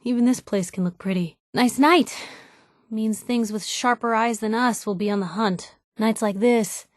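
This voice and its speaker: A woman's voice: a little high, normal speed, no room sound, studio quality, lightly acted. An actress playing a plucky young woman reporter, a video game companion with a wry, conversational, sardonic way of talking. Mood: reflective.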